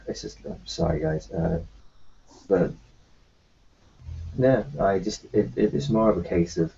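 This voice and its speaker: A man roleplaying his character in a tabletop game, talking in a halting way full of fillers and restarts. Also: deep voice, creaky, warm tone, husky. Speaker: player voicing his doctor character. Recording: dull lo-fi recording.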